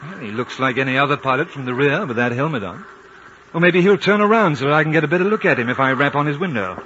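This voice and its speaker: An actor playing a composed, courteous British consul in a radio drama, with understated delivery. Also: male voice, fairly high pitch, lively intonation, fast, close to an everyday voice. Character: composed, courteous British consul, adventurer recalling his journey. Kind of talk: 1950s radio drama acting and narration. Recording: noisy, muffled old radio broadcast.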